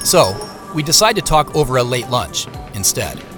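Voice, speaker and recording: An actor narrating an audio drama in the first person as a reflective man recounting his day in a measured, scripted way. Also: medium pitch, big pitch swings, music in the background, lightly acted.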